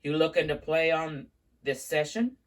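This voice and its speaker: A woman reading aloud, voicing a brash older male studio boss who talks gruffly and snaps when crossed. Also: low-pitched, lively intonation, normal speed. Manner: gruff, blunt, snappy.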